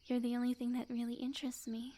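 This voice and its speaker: A woman playing a sweet, obsessive witch girlfriend in an ASMR roleplay, speaking softly and breathily in a coy, unhurried, often whispered voice. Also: a little high, monotone, slight background noise, lightly acted. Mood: doting.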